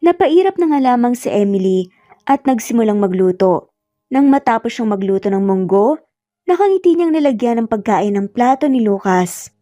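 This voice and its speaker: Filipino woman reading a love story, mellow and a bit high, music under it. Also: lively intonation, normal speed, no echo, clean studio sound. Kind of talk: scripted romance story narration. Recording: slightly muffled, music bed underneath. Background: background music.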